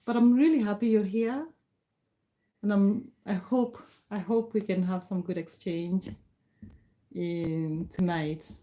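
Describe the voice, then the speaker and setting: Brief: middle-aged Kenyan-accented woman, Kikuyu native, livestream host; warm, grateful chat; mellow tone. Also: mid-range voice, slow pace. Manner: warm, grateful, greets viewers by name. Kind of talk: casual live chat reading comments.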